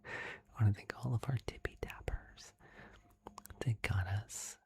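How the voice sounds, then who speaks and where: A livestream host chatting in a soft, giggly ASMR whisper, thanking viewers. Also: mid-range voice, a little slow, creaky.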